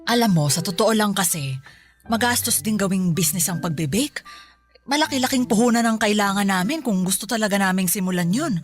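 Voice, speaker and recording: An actress playing a kindly middle-aged mother in a radio drama, with a Filipino accent and a gentle, reflective, measured way of talking. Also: medium pitch, expressive, soft background music, lightly acted. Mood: warm, curious. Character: kindly, reassuring mother of a student son.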